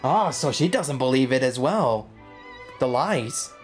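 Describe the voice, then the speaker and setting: A man's voice: mid-range voice, animated, slow pace, faint music behind. A man giving casual, slangy, reactive commentary while playing a video game as a streamer. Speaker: gaming streamer.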